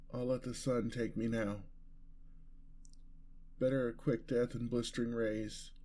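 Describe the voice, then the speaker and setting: A man's voice: mid-range voice, warm tone, natural voice. A man playing a devoted, weary vampire lover in an ASMR fantasy roleplay, speaking tenderly and earnestly in formal words. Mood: anguished, pleading.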